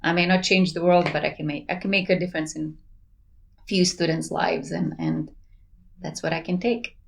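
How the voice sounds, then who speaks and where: A woman's voice: on the low side, level tone, moderate pace, gravelly. A woman in her forties from Bosnia, speaking English with a Bosnian accent as a researcher guest in a casual interview, earnest and enthusiastic, laughing between thoughts. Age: 40s. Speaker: plant pathology researcher, podcast guest.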